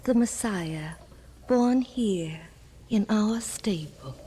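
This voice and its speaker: A woman acting a tender, reverent innkeeper's wife and worried aunt in an old-time radio drama, hushed and full of wonder. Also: mid-range voice, lively intonation, drawn-out words, slight background noise. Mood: awed. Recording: vintage radio broadcast, music behind.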